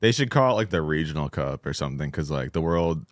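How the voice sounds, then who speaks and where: A man's voice: rumbling low, expressive, fast talker, dry recording, clean studio sound. An American man in casual podcast chat, arguing his points in a wry, rambling way. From United States. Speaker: podcast co-host. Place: podcast studio.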